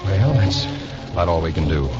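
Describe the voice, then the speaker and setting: A deep, earnest young hospital doctor in the 1940s radio drama manner. He is torn in private and commanding at work. The sound is dull and aged, with music in the recording. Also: animated, normal speed. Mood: brisk, urgent.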